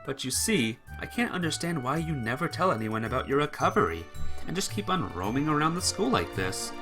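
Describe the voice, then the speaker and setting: A woman's voice: sits low, big pitch swings, brisk, music in the background. A woman voicing a blunt, exasperated teenage schoolboy from a visual novel game with brusque, challenging delivery.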